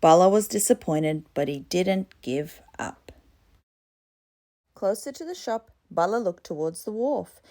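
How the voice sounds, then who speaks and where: A woman's voice: fairly low pitch, animated, unhurried, mellow. A woman reading a children's storybook expressively. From Torres Strait, Australia. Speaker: storybook reader.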